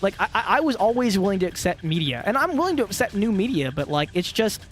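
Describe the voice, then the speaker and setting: A man's voice: sits high, sing-song, fast talker, fairly bright tone. A man in his twenties rambling in casual gaming chat, swearing and laughing often, with frequent restarts. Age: 20s. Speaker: co-host playing a video game. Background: game music and sound effects.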